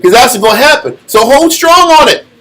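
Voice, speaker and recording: High-pitched American man, taunting preacher. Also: big pitch swings, a little fast, a bit bright, poor audio. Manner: colloquial, taunting, rhetorical questions. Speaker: religious teacher. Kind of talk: informal sermon discussion.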